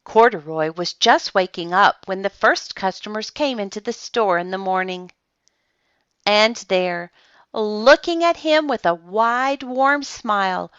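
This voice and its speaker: A woman reading a children's story aloud, with dramatic pauses and deliberate emphasis. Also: mid-range voice, expressive, dry recording, lo-fi sound. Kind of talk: expressive children's storybook narration.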